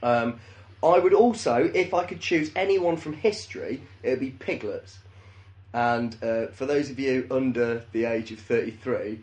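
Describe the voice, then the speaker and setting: Brief: thirties man, comedy podcast co-host, deadpan and crude. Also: medium pitch, a little slow. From English Midlands. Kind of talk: casual comedic chat.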